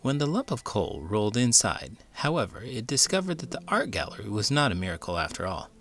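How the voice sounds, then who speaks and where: Low-voiced, animated man reading aloud. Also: brisk. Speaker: children's book reader, elementary school teacher. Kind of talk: expressive read-aloud storytelling.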